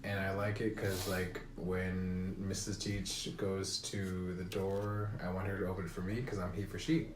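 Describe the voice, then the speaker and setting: A man's voice: deep voice, monotone, normal speed, few pauses, roomy sound, noisy background. A man co-hosting a casual podcast, wry and joking, laughing through his lines. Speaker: podcast co-host. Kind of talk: casual chat.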